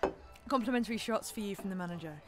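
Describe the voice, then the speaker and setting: An actress playing a polite, apologetic restaurant server in a naturalistic audio drama. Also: female voice, mid-range voice, very fast, music in the background, lightly acted.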